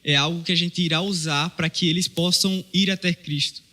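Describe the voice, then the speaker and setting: A man with a Brazilian Portuguese accent, a mission trainee talking earnestly on a podcast, often pausing and restarting his sentences. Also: on the high side, steady tone, pushed and bright. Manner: earnest, hesitant with many fillers and restarts. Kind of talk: casual podcast chat.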